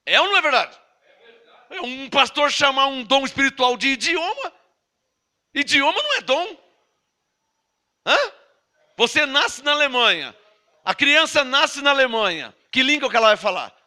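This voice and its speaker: A man's voice: very high voice, very animated, normal speed, long pauses, sharp tone. A Brazilian man with a Brazilian Portuguese accent preaching a sermon, emphatic and scolding, throwing rhetorical questions at his listeners. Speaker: pastor.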